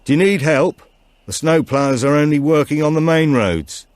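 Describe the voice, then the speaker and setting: A man reads as a harried police dispatcher in a thriller audiobook. The delivery is clipped and businesslike, pitched somewhat high. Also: normal speed, no echo, close to an everyday voice.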